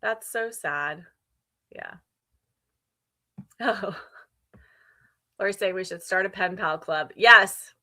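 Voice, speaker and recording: A woman's voice: medium pitch, slow pace, long pauses, pushed and bright. A woman in her fifties hosting a casual, conversational livestream chat. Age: 50s. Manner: conversational, reads out and responds to viewer comments. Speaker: livestream host.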